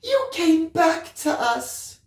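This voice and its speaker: A woman's voice: very high-pitched, sing-song, slow, roomy sound, far from the mic, voice pushed up, heavily acted. A woman voicing a worried, tender mother in a storybook reading, earnest and full of feeling. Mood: tearful, worried. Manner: emotional, earnest. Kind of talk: dramatised audiobook reading.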